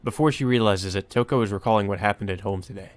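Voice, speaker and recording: A man's voice: lowish voice, quick pace, no echo. A man hosting a Let's Play, reading a visual novel aloud with casual commentary.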